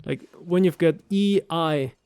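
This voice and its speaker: A man's voice: fairly high pitch, a little slow, darkish tone, smooth, no echo, clean studio sound. A man from Germany, a native German speaker with a German accent, casually explaining words as a co-host. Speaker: co-host explaining game voice lines. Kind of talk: casual language commentary.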